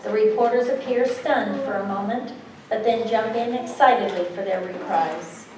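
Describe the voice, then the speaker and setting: A woman's voice: medium pitch, moderate pace, over a noisy crowd. A woman reading stage directions as scripted narration at a staged theatre reading. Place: large echoey indoor venue. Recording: echoey hall, distant mic, music.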